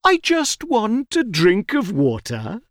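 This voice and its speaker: A woman voicing a large, sly male tiger in a storybook, with drawn-out wheedling and growls. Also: mid-range voice, huge pitch swings, no room sound, studio quality, pitched above the performer's own voice. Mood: pleading, wheedling.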